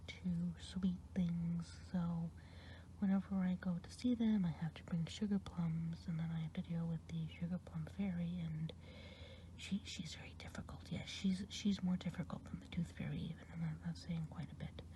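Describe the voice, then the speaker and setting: A woman voicing a kind, gossipy good witch in an ASMR roleplay, whispering close to the mic and rambling with gentle hesitations. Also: on the low side, fairly even intonation, normal speed, a little hiss or hum, lightly acted. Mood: reassuring. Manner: whispered throughout.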